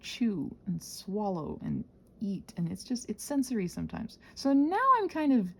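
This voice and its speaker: A woman's voice: medium pitch, sing-song, moderate pace, gravelly, fairly bright tone, breathy edge. A woman chatting casually in a playful, self-deprecating, tangent-prone ramble, expressively acting out her own thoughts. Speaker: ASMR and audio content creator.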